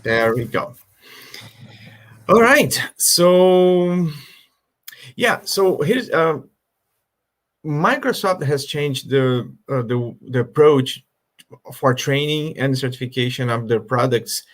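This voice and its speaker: A man's voice: medium pitch, expressive, slow pace, long pauses. Man presenting slides on a call. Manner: hesitant, filler-heavy explaining. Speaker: guest speaker. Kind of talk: online conference presentation.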